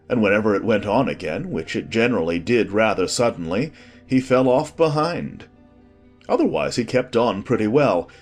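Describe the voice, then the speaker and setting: Male narrator, lowish pitch, husky tone, scripted storybook reading, music softly behind.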